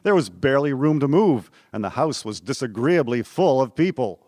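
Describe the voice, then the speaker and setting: A man's voice: mid-range voice, big pitch swings, normal speed, heavy background noise. A man reading scripted storytelling narration as the narrator of a historical drama.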